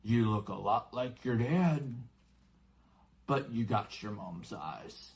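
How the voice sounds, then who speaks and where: A man voicing a huge, gruff, friendly giant gamekeeper from a storybook, hearty and blunt, with rustic colloquial talk. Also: fairly low pitch, animated, takes it slowly, heavily acted. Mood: hearty, fond. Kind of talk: storybook character voice.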